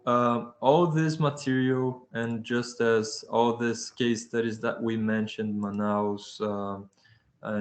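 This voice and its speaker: Male presenter, unhurried, monotone, lots of hesitations. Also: medium pitch. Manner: halting, many fillers and restarts. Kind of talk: webinar presentation.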